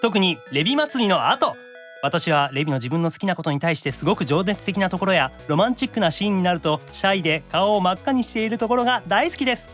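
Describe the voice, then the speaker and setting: A man with a Kansai accent hosting a podcast, chatting and reading out letters in a teasing, expressive way. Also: high-pitched, quick pace, faint music behind. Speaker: podcast host and voice actor.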